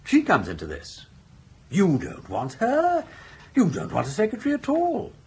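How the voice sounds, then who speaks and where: An actor playing a suave, roguish older gentleman with an upper-class English accent, speaking in flowery, persuasive phrases in a British radio comedy. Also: sits high, huge pitch swings, moderate pace, husky, heavily acted. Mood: coaxing.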